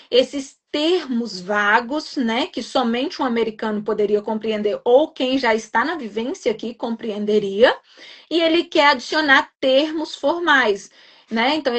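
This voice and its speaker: A woman's voice: high-pitched, fairly bright tone. A young adult woman from Minas Gerais with a Minas accent, explaining and reassuring in a live stream chat, often adding tag questions. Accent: Minas Gerais Portuguese. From Minas Gerais, Brazil. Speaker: guest nurse educator.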